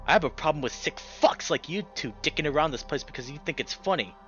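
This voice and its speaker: A man's voice: on the high side, expressive, quick pace, a bit bright, lo-fi sound. Guy doing a mean old weasel villain for a visual novel, bitter, taunting, music behind. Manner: taunting, confrontational. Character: menacing old male weasel, cold, bitter bully.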